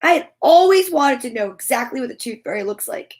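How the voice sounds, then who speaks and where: A woman's voice: high-pitched, lively intonation, fairly quick. A woman reading a children's story aloud in a dramatic, playful manner. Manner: dramatic, with gasps and playful asides.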